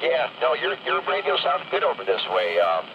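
A man's voice: low-pitched, sing-song, normal speed. A man chatting hesitantly over CB radio, repeating words as he goes. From Michigan, United States. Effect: heard through CB radio. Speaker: CB radio operator. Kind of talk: casual radio chat. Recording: muffled CB transmission with loud static.

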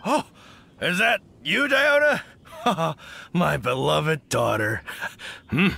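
A man playing a tipsy, jolly middle-aged hunter father in a video game, rambling in halting, slurred speech. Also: fairly high pitch, huge pitch swings, gravelly, bright, forceful tone, full character voice. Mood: tipsy, muddled, fond. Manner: slurred, halting drunken delivery with hiccups. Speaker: game character voice actor. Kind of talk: video game character dialogue.

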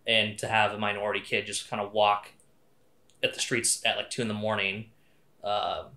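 Male, 30, American. Rambling casual interviewee, forceful edge, recorded in a roomy living room. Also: fairly low pitch, flat delivery, normal speed. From Seattle, United States. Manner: rambling, self-correcting, slangy. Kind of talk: casual personal recollection. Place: indoor living room, seated in armchair.

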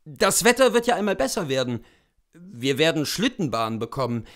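A man voicing a distressed gentleman husband, earnest and pleading, in a dramatised classic story reading. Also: on the high side, big pitch swings, normal speed, pitched below the performer's own voice. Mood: coaxing.

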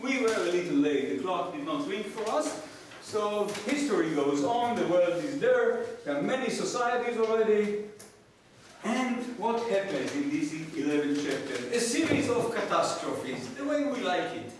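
A man in his sixties with an Israeli accent, a native Hebrew speaker, giving a public lecture as a professor with wry, deadpan asides. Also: sits high, normal speed, distant mic. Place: small lecture room with seated audience, indoors. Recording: echoey room from afar, noisy background.

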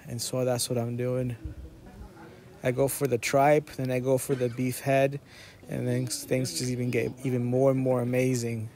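A man in his thirties narrating a casual food vlog with deadpan, joking storytelling. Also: medium pitch. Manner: deadpan, jokey. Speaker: food vlogger.

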